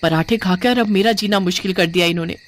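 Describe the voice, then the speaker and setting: A woman's voice: fairly low pitch, fast, slight background noise, natural voice. A woman voicing an irritable, complaining young newlywed in a cartoon family story, exasperated and argumentative. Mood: disgusted, grumbling. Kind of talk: animated Hindi family story dialogue.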